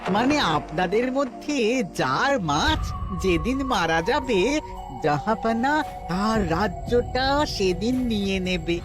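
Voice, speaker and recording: A performer playing a sly, fawning royal minister in a cartoon, speaking in an oily, deliberate way. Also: male voice, high-pitched, lively intonation. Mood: smug. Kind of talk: cartoon voice acting. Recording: music behind the voice.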